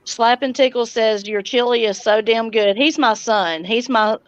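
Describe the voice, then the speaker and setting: A woman's voice: fairly high pitch, measured pace, clean tone, no room sound, lo-fi sound. A middle-aged woman hosting a casual livestream, chatting and answering viewer comments. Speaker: livestream host and homesteading vlogger.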